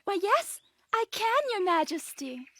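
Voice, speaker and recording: A woman's voice: extremely high pitch, big pitch swings, measured pace, bright, forceful tone, dry recording, heavily acted. A woman voicing a curious, polite little storybook girl who is easily exasperated. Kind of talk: children's storybook cartoon acting.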